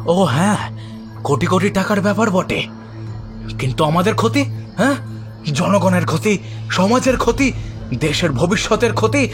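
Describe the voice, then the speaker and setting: An actor playing an indignant, defiant activist in an audio drama, speaking in emphatic rhetorical bursts. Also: male voice, high voice. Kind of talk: Bengali horror audio drama acting.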